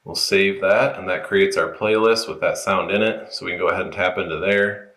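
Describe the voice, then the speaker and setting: A man's voice: low-pitched, steady tone, fairly quick, fairly bright tone. A man presenting an informal, unscripted app walkthrough, explaining each step as he goes in a roomy space. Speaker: product tutorial presenter.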